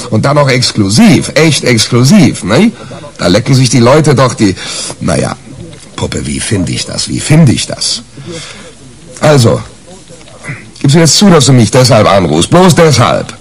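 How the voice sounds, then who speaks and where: Brash, cynical reporter, swinging pitch. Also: male voice, sing-song, measured pace. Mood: angry, taunting. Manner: colloquial, blustery, hesitating. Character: brash, hungover, cynical male reporter, scheming and combative. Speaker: lead actor and narrator in a crime radio play. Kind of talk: 1980s German radio drama acting, colloquial narration.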